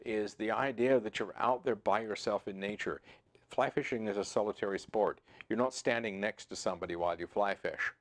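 A man in his sixties hosting a fly fishing channel, talking to camera in a relaxed, conversational way with frequent fillers and restarts, seated in a home office. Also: on the low side. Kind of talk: to-camera instructional talk. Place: home office with computer screens, indoors.

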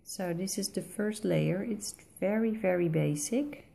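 Dutch woman tutorial host, dark mellow voice, unhurried and reflective. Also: mid-range voice, gravelly. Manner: slow, thoughtful, with many pauses and fillers. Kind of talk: relaxed voice-over while colouring.

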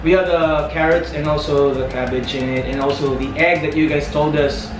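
A young man with a Filipino accent chats cheerfully while he eats, his voice sitting a little high. He sounds a bit far from the mic, in an echoing room. Music plays clearly underneath. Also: fairly even intonation, moderate pace. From Philippines. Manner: chatty, upbeat, laughs often, talks while eating. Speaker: vlogger. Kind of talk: casual food tasting chat. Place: indoors in a small room, seated at a table. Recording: echoey room from a distance with music.

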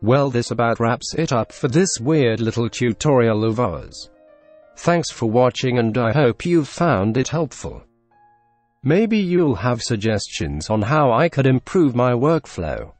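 A young man with a Russian accent narrating a software tutorial in a deadpan, dryly absurd scripted voice-over. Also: medium pitch, unhurried, no echo, soft background music. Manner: deadpan, dry absurd humor.

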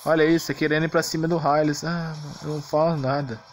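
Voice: medium pitch, little pitch movement, quick pace. Brazilian gamer grumbling over game music. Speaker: gamer, video creator. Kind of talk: casual gameplay commentary.

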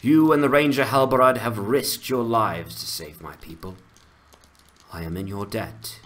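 A man's voice: fairly low pitch, fairly bright tone. A man voicing an earnest, formal woman who leads a small town, in a fantasy game dramatization. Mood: solemn, grateful. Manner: formal, solemn, measured.

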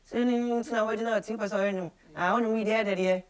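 A young Ghanaian man with a slightly high voice and a Ghanaian accent speaks as an interview guest. His talk is casual and slips between Twi and English. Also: steady tone, racing, clear, resonant tone. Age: 20s. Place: small studio office, indoors.

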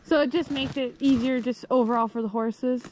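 A woman's voice: high-pitched, steady tone, normal speed, a little mellow, a little hiss or hum, low-quality recording. A woman asking curious, friendly questions while filming outdoors in snowy woods. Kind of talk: casual interview questions.

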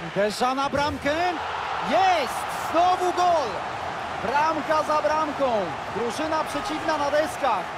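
Excitable male play-by-play caller, high voice, roaring stadium crowd behind. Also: takes it slowly, heavy background noise. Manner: excitable, erupts on goals. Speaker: play-by-play commentator. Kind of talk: scripted football video game commentary.

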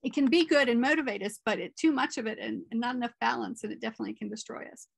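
A woman's voice: a little high, very fast, gravelly, husky, no room sound. A middle-aged woman moderating a conference Q&A over a video call.

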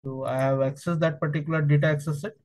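A man working as a software trainer, narrating a step-by-step tutorial in halting, piecemeal phrases. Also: on the high side, fairly even intonation.

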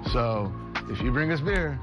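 Man, 40s, shop owner, upbeat joking pitch to camera on a lapel mic, loud music bed underneath. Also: lively intonation, a little slow. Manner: upbeat, chatty, joking salesman tone. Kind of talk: promotional interview to camera. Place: indoor workshop.

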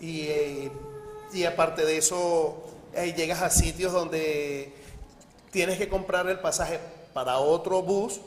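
A man in his thirties with a Caracas accent, answering a TV interviewer in halting phrases full of fillers. His voice is slightly high. The studio sounds roomy with long reverb and faint hiss. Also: fairly even intonation. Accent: Caracas Venezuelan. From Venezuela. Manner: hesitant, many fillers. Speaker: guest businessman. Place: TV news studio.

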